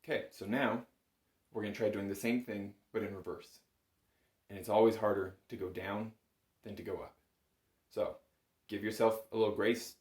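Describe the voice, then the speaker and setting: Low-voiced man teaching, roomy sound. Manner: patient, encouraging, step-by-step. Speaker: bagpipe instructor. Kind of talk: instructional music lesson, conversational. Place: small home music room, indoors. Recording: roomy indoor echo.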